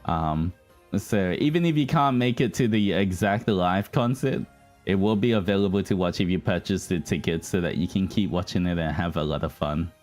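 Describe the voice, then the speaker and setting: Low-voiced man chatting on a livestream. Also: normal speed, a little mellow. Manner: rambling, addresses audience as guys. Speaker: livestream host, virtual streamer. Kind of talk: casual livestream chat with viewers. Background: background music.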